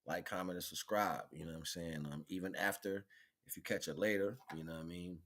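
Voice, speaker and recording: A man's voice: low voice, steady tone, brisk, fairly bright tone. A man chatting casually as a livestream host, rambling in slang and repeating catchphrases.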